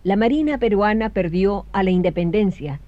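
A woman narrating a scripted historical radio drama in a solemn, measured way. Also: mid-range voice, lively intonation, mellow, natural voice. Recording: dull lo-fi radio recording with music.

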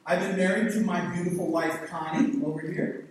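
A man's voice: high-pitched, fairly even intonation, brisk, a little hiss or hum. A man in his sixties with a Southern US accent, preaching a sermon in a folksy, joking, rambling way. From Southern United States. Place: church hall. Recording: echoey hall mic from far off.

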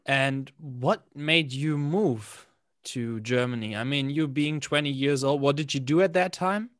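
Slow-talking man interviewer, playful. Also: mid-range voice, studio quality. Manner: slow, playful, echoes the guest's words. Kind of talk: casual conversation.

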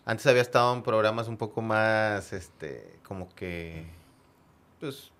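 A man with a northern Mexican accent chatting casually and telling anecdotes as a podcast guest, in a halting way with words repeated for emphasis. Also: lowish voice, fairly bright tone. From Monterrey area, northern Mexico. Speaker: podcast guest, football fan.

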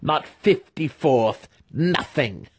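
A man voicing a disfigured, cruel dark-elf wizard master who growls, snarls and drags out his taunts in a fantasy audiobook. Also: a little high, animated, takes it slowly, husky, pitched above the performer's own voice, full character voice. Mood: gloating, taunting. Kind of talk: dramatic fantasy audiobook acting.

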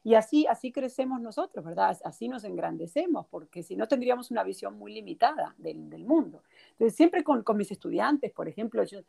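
A Uruguayan woman with a Uruguayan Spanish accent, a Spanish teacher, talking thoughtfully as a guest and filling pauses with "este". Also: medium pitch, lively intonation, vocal fry, mellow, husky. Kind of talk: conversational interview.

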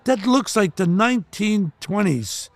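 A man in his eighties, a retired hockey player, telling gruff, joking stories in casual chat on a podcast. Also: high-pitched, lively intonation, measured pace, dry recording. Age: 80s.

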